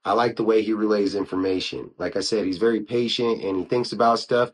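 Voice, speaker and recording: American male podcaster, fairly low register, informal and conversational, slang-heavy solo talk. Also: steady tone, a little fast, husky. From United States (Wyoming). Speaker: podcast host. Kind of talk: casual solo podcast talk, reviewing YouTube channels.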